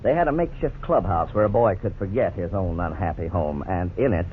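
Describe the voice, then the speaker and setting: A man narrating a 1950s radio drama in a measured, grave manner. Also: low voice. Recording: tinny old radio broadcast, faint hiss.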